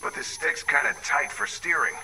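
An actor playing an easygoing Jedi fighter pilot with clipped delivery in an audio drama. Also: male voice, fairly high pitch, wildly swooping pitch, moderate pace, pushed and bright, slight background noise, exaggerated voice. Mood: steady.

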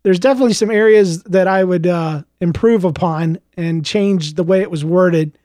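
A man with a high, slightly gravelly voice reads his own story aloud and then talks to his podcast listeners. He hesitates often, filling gaps with "uh" and restarting sentences. The sound is close and dry, with no room in it. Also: moderate pace, mellow, no room sound. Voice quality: a little gravelly. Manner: hesitant, many fillers and restarts in chat. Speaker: podcast host and author. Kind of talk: reading his own book aloud, then casual chat.

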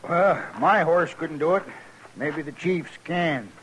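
Rustic frontiersman, raised pitch, plain-spoken, vintage radio western, faint noise. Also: takes it slowly, a bit bright, low-quality recording. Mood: determined. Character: plain-spoken frontier gambler staking his wagon and mules. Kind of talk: 1950s radio western acting.

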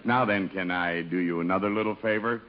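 An actor playing a folksy, wheedling middle-aged police chief in a 1950s radio comedy, talking deliberately and coaxingly. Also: male voice, low-pitched, lightly acted. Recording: muffled vintage 1950s radio recording.

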